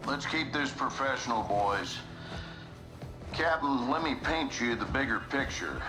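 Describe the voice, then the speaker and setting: A man playing a self-assured, scheming general in a military video game, smooth-talking, commanding and goading. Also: medium pitch, lively intonation, measured pace, close to an everyday voice. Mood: bargaining. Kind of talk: cinematic military game acting. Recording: echoey, under dramatic score. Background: dramatic music.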